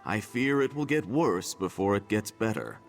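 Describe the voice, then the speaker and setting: An actor playing a worried, earnest immigrant merchant in a fantasy video game. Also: male voice, lowish voice, lively intonation, moderate pace, faint music behind. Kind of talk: video game character dialogue.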